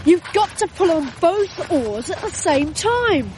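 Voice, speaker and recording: A woman playing a proud, upper-class young boy with an English accent and a brisk, boyish manner in a radio drama. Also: extremely high pitch, very animated, exaggerated voice. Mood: eager. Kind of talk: period radio drama dialogue. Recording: noisy archive radio drama recording.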